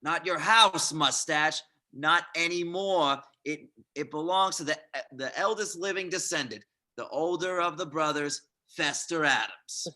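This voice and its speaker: A man plays a smug, scheming lawyer at a film table read. The voice is piercingly bright and a little high. He delivers his lines with taunting self-satisfaction. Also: measured pace. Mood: gloating.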